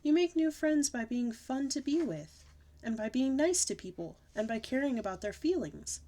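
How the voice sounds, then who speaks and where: A woman voicing a patient, weary mother who explains things slowly and gently to her child. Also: sits high, animated, pitched above the performer's own voice. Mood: gentle. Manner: slow, gentle, deliberate explaining.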